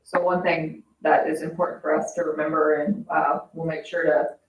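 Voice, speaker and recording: A woman's voice: sits low, little pitch movement, normal speed. A woman explaining matters in a businesslike way as a financial advisor at a public meeting. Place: indoor municipal meeting room. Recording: echoey meeting room, distant mic, dull, faint noise.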